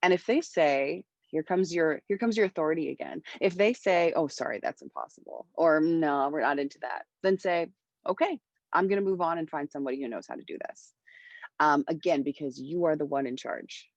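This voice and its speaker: A woman in her thirties talks fast and animated as a mentor, advising on a video call from home. Her earbud mic sounds dull and muffled, with a dry, close sound and no room echo. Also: low-pitched. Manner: energetic, lots of hand gestures. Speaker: music mentor. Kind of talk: panel talk on recording. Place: home room with bright wall. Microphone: wireless earbuds on video call.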